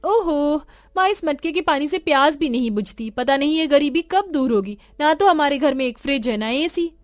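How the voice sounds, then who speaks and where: A woman's voice: sits high, animated, normal speed, dry recording, clean studio sound, faint music behind, voice pushed up. A woman voicing a brash, discontented young village daughter with an eager, whiny manner. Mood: whiny complaining.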